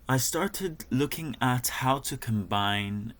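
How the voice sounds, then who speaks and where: A young man, a fine art student, reading through a presentation of his work in a measured way with some fillers and restarts. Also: medium pitch, expressive, a bit bright.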